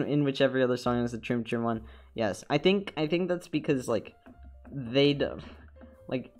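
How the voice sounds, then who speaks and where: A man's voice: on the low side, darkish tone. A young man with a congested, nasal voice chatting casually as a streamer while he animates, rambling with repeated words and laughs.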